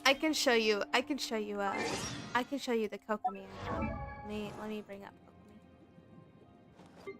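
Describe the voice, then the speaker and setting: A woman's voice: mid-range voice, brisk, long pauses, music in the background. A woman game livestreamer chatting casually in commentary over her stream.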